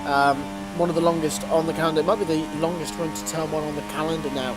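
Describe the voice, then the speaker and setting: A man's voice: a little high, music in the background, rough recording. A young man with a blocked-up nose, hosting a gaming channel and giving eager racing game commentary. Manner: eager, rising to excitement on fast laps.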